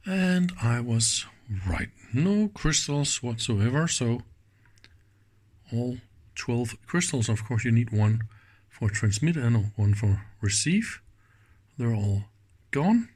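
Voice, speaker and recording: A man's voice: mid-range voice, animated, takes it slowly, a little mellow. A man giving casual hands-on commentary at an indoor workbench, thinking aloud informally with small exclamations. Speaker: host of electronics teardown videos.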